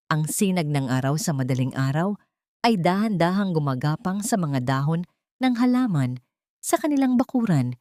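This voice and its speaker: A woman with a low voice reads a sentimental story as scripted narration. Her delivery is measured, earnest and literary, and the sound is dry, with little room around it. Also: a little mellow, no echo.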